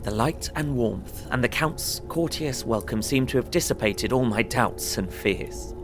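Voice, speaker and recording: A man playing an earnest young solicitor, narrating his travel diary in a measured, descriptive way for an audio drama. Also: mid-range voice, expressive. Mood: relieved, measured. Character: young English solicitor recounting his journey, earnest, observant. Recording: prominent music under voice. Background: music.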